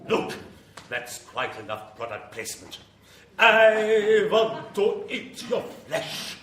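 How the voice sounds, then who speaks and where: Hammy vampire lord, wildly swooping voice. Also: male voice, high-pitched, very animated, a bit bright, full character voice. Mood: exasperated, menacing. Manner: hammy, grandiose, slow theatrical declaiming. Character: melodramatic evil lonely vampire lord, theatrical and exasperated. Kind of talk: classic horror radio acting. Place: small live venue with audience. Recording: roomy live stage recording, background noise.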